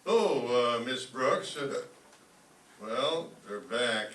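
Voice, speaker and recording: An actor playing a flustered, gruff school principal in an old-time radio comedy, halting and blustery. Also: male voice, mid-range voice, lively intonation, a bit bright. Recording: echoey stage, distant mic, faint noise.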